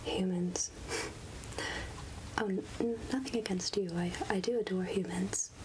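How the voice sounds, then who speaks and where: Witch potion seller, acted by a woman, soft whispery voice, slow murmuring delivery, kindly and absent-minded, close-up ASMR, noticeable noise behind. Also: mid-range voice, steady tone, close to an everyday voice. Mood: musing, friendly. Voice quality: soft, breathy, half-whispered. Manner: slow, gentle, hesitant murmuring with repeated phrases. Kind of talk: soft-spoken ASMR roleplay.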